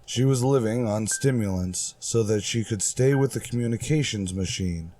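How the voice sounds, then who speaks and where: Mellow-voiced man narrating, faint noise. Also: lowish voice, normal speed. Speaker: podcast host and narrator. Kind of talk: scripted narration.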